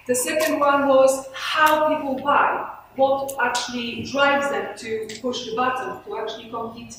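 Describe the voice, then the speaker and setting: Echoey, distant Polish-accented woman presenting. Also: high-pitched. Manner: hesitant, halting, frequent searching for words. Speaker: conference speaker on marketing. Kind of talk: informal business presentation. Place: indoor event room with audience. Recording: very echoey room, distant mic, clear noise.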